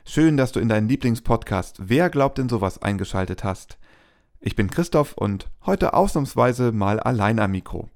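A man, a pastor, hosting a church podcast and interviewing guests in a friendly, measured way. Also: mid-range voice, lively intonation, a little mellow. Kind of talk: scripted podcast intro and interview questions.

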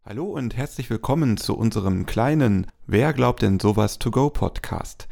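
Man, pastor, podcast host, gravelly, measured friendly questions. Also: on the low side, animated, dark tone, faint music behind. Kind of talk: scripted podcast intro and interview questions.

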